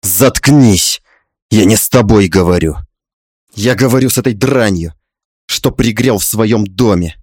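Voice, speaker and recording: A man playing a tyrannical older father-in-law in an audiobook drama, contemptuous and manipulative, speaking with drawn-out menace. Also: on the low side, darkish tone, no room sound, clean studio sound, pitched below the performer's own voice, close to an everyday voice. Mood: furious, menacing.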